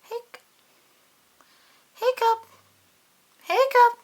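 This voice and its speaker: A woman voicing an earnest, puzzled young boy kitten with hiccups in a children's storybook, with squeaky, eager delivery. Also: flat delivery, extremely slow, exaggerated voice. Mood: startled hiccuping.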